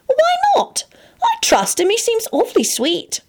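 Storybook heroine, played by a female narrator: young, lovestruck, anxious, insistent, high and swooping. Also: huge pitch swings, a little slow, heavily acted. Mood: excited, insistent. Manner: emphatic, wailing and insistent. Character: passionate, anxious, pleading young woman in love.

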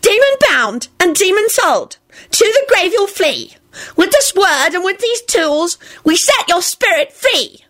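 A woman voicing a bossy, haughty girl ringleader in a storybook, with a shrill, shouting manner. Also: sing-song, a little slow, full character voice. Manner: shouting, sniffy, unkind.

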